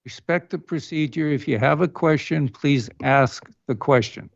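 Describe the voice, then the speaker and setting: A man with a fairly high voice chairs a city council meeting, firm and admonishing as he insists on procedure. The sound is dull and muffled, as from a meeting room system. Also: a little slow, vocal fry, no echo. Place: council chamber.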